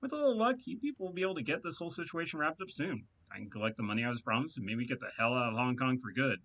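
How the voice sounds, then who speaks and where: A man's voice: medium pitch, lively intonation, rapid-fire, fairly bright tone, dull audio. A man voicing a rambling, talkative male hacker who boasts and amuses himself in a video game read-aloud. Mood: chatty.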